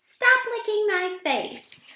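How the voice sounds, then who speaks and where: A woman's voice: very high-pitched, sing-song, slow, sharp tone, pitched above the performer's own voice, heavily acted. A woman voicing an eager, playfully bossy schoolgirl in a children's storybook read-aloud. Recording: roomy, echoing sound.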